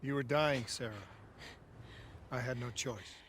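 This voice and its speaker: A man's voice: medium pitch, steady tone, a little slow, natural voice. An actor playing an earnest, troubled soldier in a video game cutscene, urgent and insistent with his comrade. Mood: urgent, pleading. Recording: very loud noise behind.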